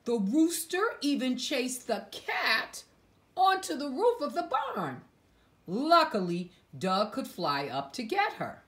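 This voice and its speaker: A woman reading a picture book aloud to young children, with dramatic emphasis and hushed asides to her listeners. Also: high-pitched, very animated, slow. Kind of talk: expressive read-aloud storytelling for young children.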